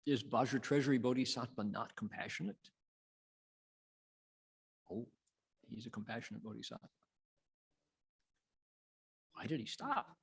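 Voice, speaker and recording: A man reciting and teaching Buddhist scripture as a monk, in a measured, dramatic storytelling manner with pauses. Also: mid-range voice, vocal fry, breathy edge. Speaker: Buddhist monk, sutra lecturer. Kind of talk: sutra recitation and dharma lecture.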